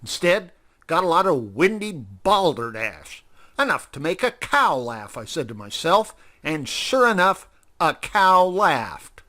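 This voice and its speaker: A man's voice: a little high, big pitch swings, measured pace, bright, forceful tone, pitched above the performer's own voice, exaggerated voice. A man voicing a grumpy, sarcastic old male owl with a grumbling manner. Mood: scornful. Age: older.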